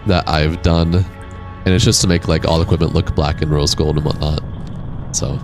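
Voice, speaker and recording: A young man with a deep voice, casually streaming a game and chatting with viewers in a laid-back, rambling way. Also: fairly even intonation, normal speed. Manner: laid-back, rambling, reads and answers chat. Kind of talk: casual live-stream chat while gaming. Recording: game music playing behind.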